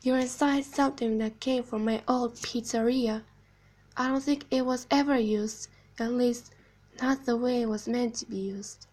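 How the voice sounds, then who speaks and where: A woman voicing an eerie animatronic girl clown from a video game, soft-spoken, falsely sweet and sinister, speaking in a slow, deliberate, hushed storytelling manner. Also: fairly high pitch, fairly even intonation. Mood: hushed, menacing. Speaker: amateur voice actor. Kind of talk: video game character monologue.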